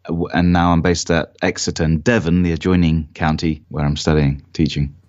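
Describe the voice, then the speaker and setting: Low-pitched British man, slightly dull recording. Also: level tone, brisk, a little mellow. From Cornwall, Britain. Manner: careful, clear pronunciation. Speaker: philosopher, interview guest. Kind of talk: conversational, reflective interview answers.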